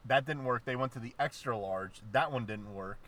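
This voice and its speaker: A man's voice: medium pitch, normal speed, fairly bright tone. A man, co-hosting a podcast with teasing jokes and stammering restarts. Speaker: podcast co-host. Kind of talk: casual podcast banter.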